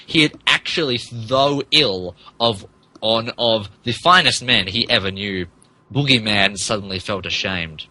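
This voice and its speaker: A man's voice: fairly low pitch, unhurried, bright, forceful tone, no room sound, rough recording. A man narrating a comic dramatic reading of fan fiction on a podcast.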